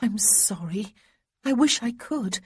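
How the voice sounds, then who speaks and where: A woman voicing Honoria, a nervous, tender young gentlewoman from a storybook romance. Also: medium pitch, expressive, husky, pitched above the performer's own voice, full character voice. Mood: anxious. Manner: fluttery, quick, softly anxious.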